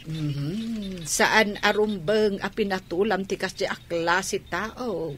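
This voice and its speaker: A motherly older Ilocano woman in a radio drama, played by a female actor. The voice sits at a medium pitch. She comes across as inquisitive about everyone around her. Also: slow pace, slight background noise, close to an everyday voice. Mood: questioning, placating.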